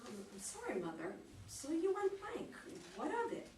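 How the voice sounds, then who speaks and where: A woman reading the role of a self-assured, nonchalant upper-class daughter in her forties in a play, brisk and questioning. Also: high-pitched, huge pitch swings, dark tone. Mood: skeptical, probing. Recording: echoey noisy room, distant mic.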